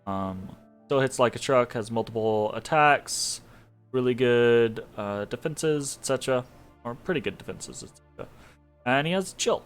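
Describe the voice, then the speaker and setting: A young man talks through a strategy game in a loose, rambling way, full of fillers and quick laughs. His voice is congested and a bit sniffly from allergies. Faint music plays behind him. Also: mid-range voice, lively intonation, clean tone. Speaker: game commentator. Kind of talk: casual gameplay commentary.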